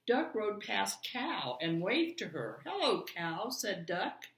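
A woman in her sixties reading a children's story aloud in an expressive, deliberate way. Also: medium pitch, vocal fry. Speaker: storybook reader. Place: home dining room, indoors. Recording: roomy echo, distant room microphone.

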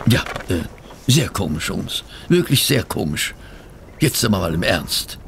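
An actor playing a fussy, incredulous gentleman sidekick in a radio play, sputtering and exclaiming indignant questions. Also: deep voice, sing-song, measured pace, creaky, a little hiss or hum, heavily acted. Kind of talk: German radio play acting.

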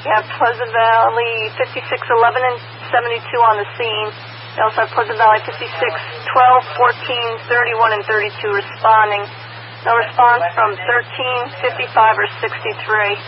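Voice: medium pitch, level tone, normal speed. An emergency dispatcher relaying information over a two-way radio in a procedural, businesslike manner. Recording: muffled, noisy scanner radio.

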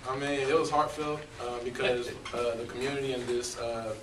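A man's voice: mid-range voice, fairly even intonation, normal speed, recorded from a distance. A college basketball player in his early twenties giving answers at a press conference. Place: indoor press conference room. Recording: echoey, noisy press room recording.

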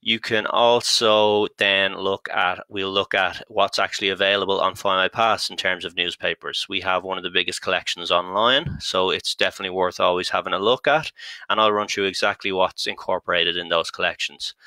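A man's voice: deep voice, sharp tone, dry recording. A man with a Dublin Irish accent giving a live online talk, chatty and full of ums and restarts. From Ireland. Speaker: genealogy company content strategist presenting a webinar.

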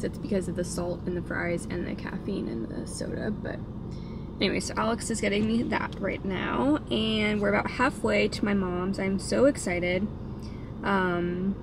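A young woman vlogging from a moving car on a road trip, rambling and chatty with plenty of fillers. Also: medium pitch. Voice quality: vocal fry. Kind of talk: casual chatty vlog. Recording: car road noise behind the voice.

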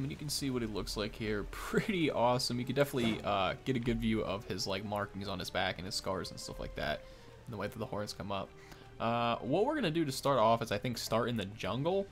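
A man, giving informal, enthusiastic game preview commentary. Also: on the low side. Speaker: gaming video host. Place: small indoor room at a desk. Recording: faint background noise and clicks.

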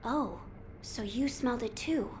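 A woman's voice: on the high side, measured pace, natural voice. Lady doing a little noble boy in a game, solemn n polite, proper speech, faint ambient noise. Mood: earnest, gracious. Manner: formal, earnest, polite. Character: solemn young noble boy, earnest and dignified. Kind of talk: video game voice acting.

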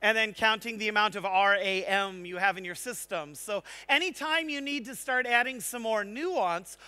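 A man speaking on a large conference stage, giving an energetic technical talk and checking in with the audience. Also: high voice. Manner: energetic, engaging, asks the audience "right?".

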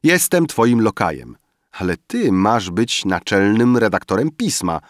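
A man's voice: on the low side, big pitch swings, measured pace, no room sound, clean studio sound. A man voicing a tipsy young man who mockingly plays along with his drunken friend. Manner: teasing, mock-servile drunken banter.

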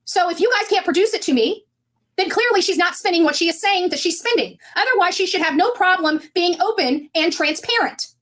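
A woman's voice: very high-pitched, animated, fairly quick, a bit bright. A middle-aged woman livestreaming an argumentative monologue in an insistent, combative manner.

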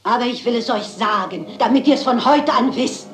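A roomy sound surrounds an elderly spinster sleuth played by a woman. She is genteel, unflappable and a touch theatrical, with a highish voice, in the manner of a crime radio play. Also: normal speed, soft background music, close to an everyday voice. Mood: dramatic reciting. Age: older.

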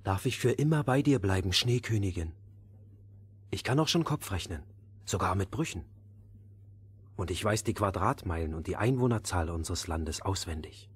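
An actor playing a headstrong young boy in a fairy-tale audio play, with an expressive, youthful manner. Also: low voice, normal speed, breathy edge, full character voice. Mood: hesitant, entranced. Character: young boy, headstrong, scornful, easily enchanted.

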